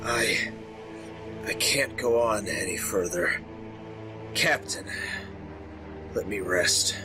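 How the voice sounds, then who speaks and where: A man's voice: fairly low pitch, takes it slowly, music in the background. A man playing an exhausted, injured soldier in a radio drama, sounding weary and in pain.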